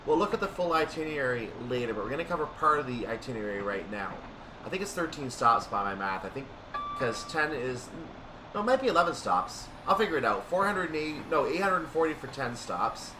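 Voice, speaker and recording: A man's voice: medium pitch, sing-song, fairly quick, far from the mic, background noise. A man giving casual gameplay commentary on a train simulator, thinking aloud and correcting himself as he goes. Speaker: gaming video host.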